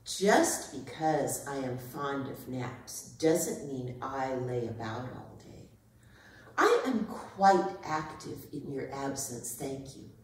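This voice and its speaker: A woman's voice: rumbling low, animated, slow pace, slight background noise, heavily acted. A woman playing a stubborn, indignant pet cat in a staged reading, speaking in a pompous, formal way with precise diction. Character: haughty, stubborn, indignant female pet cat named Callie. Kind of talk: theatrical staged dramatic reading. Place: arts centre performance space. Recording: echoey hall, distant microphone.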